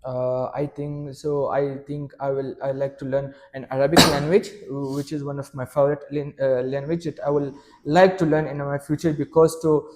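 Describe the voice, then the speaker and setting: A man's voice: on the high side, little pitch movement, moderate pace, background noise. A young man whose first language is Pashto, answering questions in a mock speaking exam with many fillers and restarts. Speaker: test candidate.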